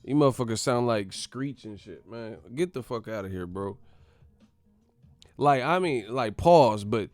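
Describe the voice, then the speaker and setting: A man's voice: medium pitch, expressive, a little slow, darkish tone, soft background music. A man hosting a boxing channel who rants in a slangy, profane way, laughing and mocking as he talks. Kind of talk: profane comedic vlog rant.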